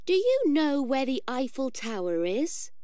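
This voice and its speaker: A woman voicing an excited, eager young schoolgirl in a children's storybook, with a questioning manner. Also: sits high, measured pace, clear, resonant tone, no echo, studio quality, voice pushed up.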